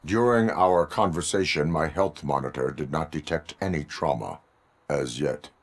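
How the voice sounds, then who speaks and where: A man voicing a stoic cyborg police officer in a video game, speaking in a formal, stilted, deadpan way. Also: rumbling low, normal speed. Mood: stern, measured.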